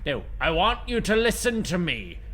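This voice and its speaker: A man's voice: sits high, sing-song, moderate pace, a bit bright, exaggerated voice. An actor playing an ageless, courteous man who speaks in a formal, courtly way. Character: ageless courteous man, polite and wry negotiator.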